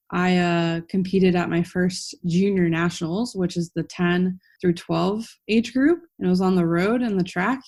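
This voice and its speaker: Upbeat young woman, lowish voice. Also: a little mellow. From Florida, United States. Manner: chatty, upbeat, with quoted self-talk. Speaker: guest, professional track cyclist. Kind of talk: casual interview answers.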